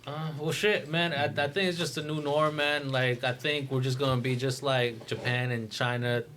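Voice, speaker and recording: A man's voice: mid-range voice, steady tone, moderate pace, a bit bright, slight background noise. A young man in a casual podcast discussion, giving his opinions in slangy, sweary talk. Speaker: podcast co-host. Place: small indoor room, group around table. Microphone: desk podcast microphone.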